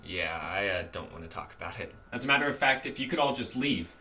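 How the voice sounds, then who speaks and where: Guy playing a fed-up college kid, low but very sharp voice, halting, noisy sound. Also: expressive. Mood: exasperated. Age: young adult. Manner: halting, exasperated. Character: awkward, irritable male college student haunted by ghosts. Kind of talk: web series comedy acting. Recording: distant mic, noisy room sound.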